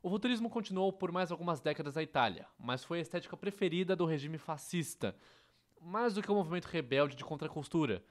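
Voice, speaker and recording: A man's voice: fairly high pitch, expressive, fast talker. Brazilian man narrating a lesson. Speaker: educational presenter dubbing a theatre history course. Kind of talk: scripted narration.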